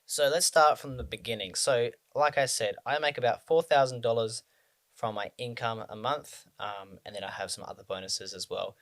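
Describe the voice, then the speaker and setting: A man's voice: mid-range voice, moderate pace. Rambling young man with frequent ums. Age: 20s. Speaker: personal finance vlogger. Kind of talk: casual talk to camera.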